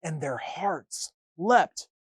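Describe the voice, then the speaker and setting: Man, children's storybook reading, highly animated pitch, dramatic pauses, slow delivery. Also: husky, dry recording, studio quality. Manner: dramatic, deliberate phrasing with pauses.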